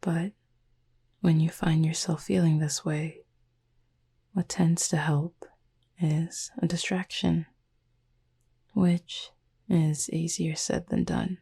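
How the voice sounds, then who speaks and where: Woman acting as a gentle Cupid roommate, very husky and breathy, heavy vocal fry, slow, soft, reassuring, ASMR audio roleplay. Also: deep voice, level tone, full character voice. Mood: calm, reassuring. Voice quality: breathy, husky, creaky near-whisper. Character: gentle, composed Cupid roommate soothing someone to sleep.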